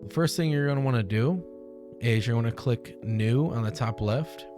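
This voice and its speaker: A young man hosting a casual software tutorial, speaking informally into a close desk microphone. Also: medium pitch, normal speed. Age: young adult. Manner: informal, conversational. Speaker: tutorial host. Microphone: close desk microphone on boom arm. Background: music.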